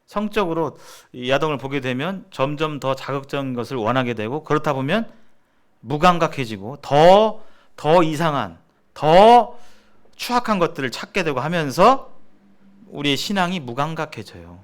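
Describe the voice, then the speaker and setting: A man's voice: on the high side, lively intonation, moderate pace, smooth. A man giving a sermon-like seminar lecture as a preacher, speaking emphatically and repeating phrases for stress. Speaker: preacher leading a church seminar.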